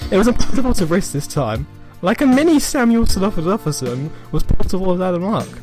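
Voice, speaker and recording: A man with a slightly high voice reads amateur fiction aloud over music. He delivers it as a mock-serious dramatic reading, stretching certain phrases for effect and tripping over others. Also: very animated, brisk, darkish tone. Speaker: reader of amateur fan fiction. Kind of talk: comic dramatic reading of a written story.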